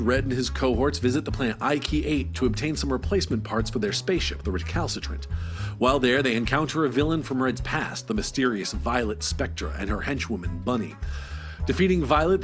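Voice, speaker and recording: A man plays an old-time serial announcer with a mid-pitched voice and theatrical delivery. He narrates a live radio show over faint music. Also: lightly acted. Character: theatrical pulp serial announcer recapping adventures.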